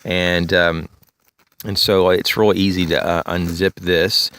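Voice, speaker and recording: A man with a very low voice, a little raspy and congested, talks through a gear review in a loose, rambling way full of "uh" and "um". The sound is dry and close, recorded outdoors among fallen leaves. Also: level tone, slow pace, fairly bright tone, no room sound. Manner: rambling, many fillers, frequent throat clearing. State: congested, slightly raspy from allergies. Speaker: gear reviewer, channel host. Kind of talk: casual show-and-tell gear review. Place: outdoors in leafy woods, bag on a chair.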